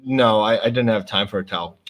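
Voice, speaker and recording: A man's voice: lowish voice, fairly quick, fairly bright tone. A man co-hosting a stream, chatting casually and joking around with plenty of fillers. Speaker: stream co-host.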